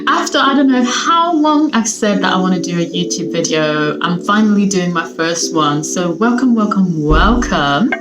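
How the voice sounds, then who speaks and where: A woman's voice: lowish voice, animated, normal speed, hardly any pauses, over loud music. Chatty upbeat young woman vlogger. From Sweden. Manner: chatty, upbeat, lots of fillers. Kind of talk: casual chat to camera. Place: at home, seated.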